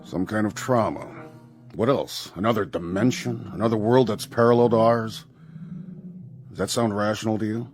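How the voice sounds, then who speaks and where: Man acting as a deep-voiced, skeptical commanding general. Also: normal speed, a little mellow, faint music behind, natural voice. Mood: doubtful. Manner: authoritative, curt, skeptical. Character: skeptical, commanding male air force general. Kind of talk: radio drama acting.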